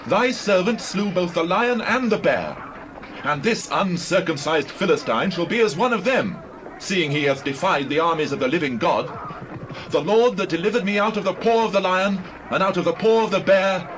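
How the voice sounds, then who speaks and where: A bold young shepherd boy, acted by a man, speaks in a high, earnest, declamatory voice. He sounds devout and fearless, as in a scripture drama, with some noise behind him. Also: a bit bright, poor audio.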